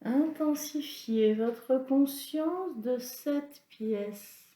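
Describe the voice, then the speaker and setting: Woman, meditation guide, very unhurried, mellow timbre, calm instructional delivery, echoey room sound. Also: sits high. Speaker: yoga nidra instructor. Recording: roomy, echoing sound.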